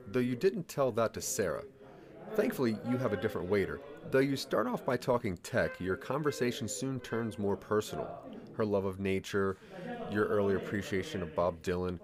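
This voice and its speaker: A man narrating a scripted second-person story as the narrator of an audio drama. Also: lowish voice.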